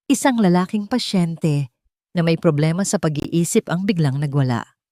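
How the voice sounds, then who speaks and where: A woman narrating a fictional audio drama in an expressive, dramatic storytelling manner. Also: fairly low pitch, no echo, studio quality.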